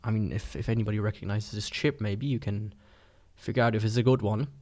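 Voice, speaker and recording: A man's voice: lowish voice, fairly even intonation, fairly quick, darkish tone. Casual, rambling man reviewing a gadget. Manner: rambling, informal, skeptical. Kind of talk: casual unscripted product review.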